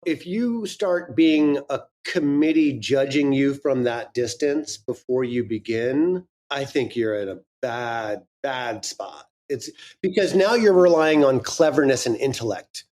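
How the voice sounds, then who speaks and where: A man's voice: mid-range voice, lively intonation, unhurried, a little mellow. A man in his fifties, a guest speaking frankly and candidly in a casual interview, swearing now and then. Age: 50s. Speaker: guest, audio drama creator.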